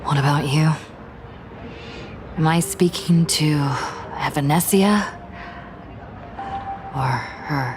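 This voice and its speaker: An actress playing a cool, enigmatic young woman in a game, serious and measured. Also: sits low, over music. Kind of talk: scripted game dialogue.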